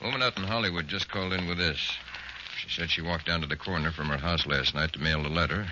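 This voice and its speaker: An actor playing a brisk, gruff male police chief in a 1940s radio drama, reporting in a businesslike way. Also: low-pitched, fairly even intonation, close to an everyday voice. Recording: muffled, noisy 1940s radio recording.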